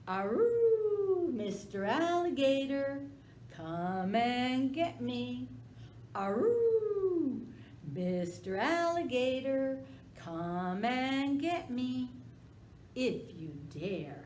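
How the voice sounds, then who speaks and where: A woman voicing a sly, sassy old male dog who whines and howls in a high, pleading voice. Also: sing-song, takes it slowly, roomy sound, slight background noise. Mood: taunting. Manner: whining, howling, high and pleading.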